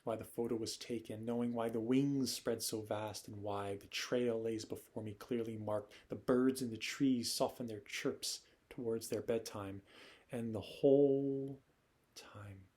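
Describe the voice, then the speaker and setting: A man performing a poetic monologue as a nostalgic, yearning wanderer, in a measured recital style. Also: mid-range voice, steady tone, darkish tone, natural voice. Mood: tender, yearning. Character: nostalgic wandering male poet, reflective and yearning.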